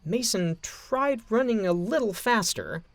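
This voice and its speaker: Story narrator, male: expressive delivery with vocal fry, very dry recording. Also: high-pitched, measured pace, no echo.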